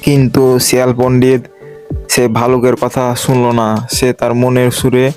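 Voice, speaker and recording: A man's voice: medium pitch, monotone, moderate pace, a little mellow, soft background music. A man narrating a children's animal story from a script.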